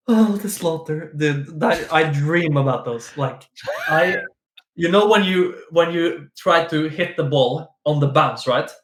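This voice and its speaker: A man's voice: fairly high pitch, takes it slowly, roomy sound. A man, a guest on a stream, chatting playfully with giggles and lots of restarts. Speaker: guest, esports player. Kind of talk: casual chat on a stream.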